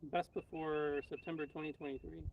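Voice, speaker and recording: A man's voice: fairly high pitch, little pitch movement, fairly quick, creaky. An American man co-hosting a casual live stream, chatting informally as a fellow radio hobbyist. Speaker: co-host and ham radio operator. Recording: dull lo-fi distant mic, faint noise.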